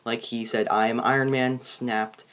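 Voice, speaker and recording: A man hosting a movie review in casual chat, halting and often restarting his sentences. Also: mid-range voice, flat delivery, a bit bright, smooth. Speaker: host of a movie review show.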